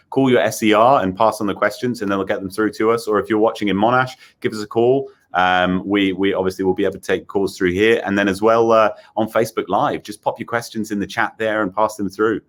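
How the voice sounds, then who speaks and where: A man's voice: lowish voice, steady tone, fairly quick, hardly any pauses. A man with an Australian accent hosting a children's show, interviewing in a casual, effusive and enthusiastic way. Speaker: host of a children's show, in character as a space captain.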